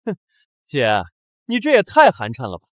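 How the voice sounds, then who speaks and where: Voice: medium pitch, wildly swooping pitch, normal speed, dry recording, studio quality. A performer playing a sneering, mocking younger sibling in a short drama. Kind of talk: Chinese short drama acting.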